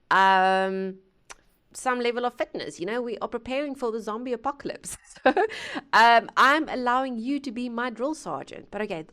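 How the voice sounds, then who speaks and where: Woman host on a gaming headset, smooth clear voice, rambly and jokey, lots of ums. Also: medium pitch, fairly bright tone. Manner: rambling and chatty, with many fillers and asides; playful, laughing at herself. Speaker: music reaction channel host. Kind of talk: casual chat to viewers. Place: home studio.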